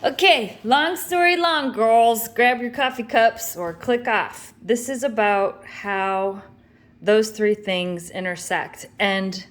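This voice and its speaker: A woman's voice: fairly high pitch, takes it slowly. An older woman talks straight to her camera from her kitchen at home. She tells a long story in a chatty, wry, rambling way, with plenty of asides. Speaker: vlogger. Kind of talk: casual talking-to-camera story.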